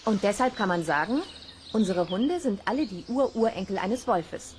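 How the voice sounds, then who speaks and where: Actress as female wolf biologist, mid-pitched, plain assured explanations, children's audio play, faint ambient noise. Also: expressive, moderate pace, lightly acted. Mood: matter-of-fact, assured.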